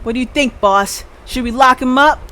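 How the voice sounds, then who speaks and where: Streamer doing an excitable high-pitched junior cop sidekick. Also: moderate pace. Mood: eager. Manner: urgent, exclaiming, eager.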